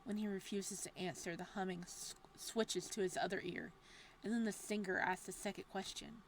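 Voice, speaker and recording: Woman, hushed steady reading, horror game text, mid-pitched voice, faint background noise. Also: normal speed, low-quality recording. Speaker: let's play streamer reading a visual novel aloud.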